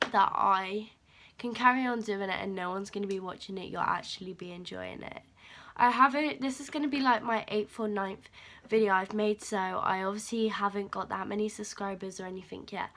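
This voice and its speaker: A teenage girl, chatting casually to camera in a vlog and rambling with fillers, her voice a bit croaky from a cold. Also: medium pitch, fairly bright tone. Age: teens. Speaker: vlogger.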